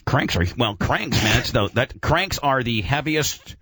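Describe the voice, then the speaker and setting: A man's voice: mid-range voice, lively intonation, unhurried, bright, forceful tone, no echo, clean studio sound. A man hosting a casual radio show about auto racing, talking in a slangy, informal and opinionated way.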